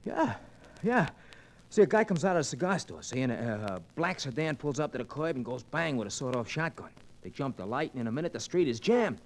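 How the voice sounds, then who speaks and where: An actor playing an excited young sidekick who rattles out news breathlessly in a 1940s radio play. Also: male voice, medium pitch, slight background noise. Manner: breathless, rapid.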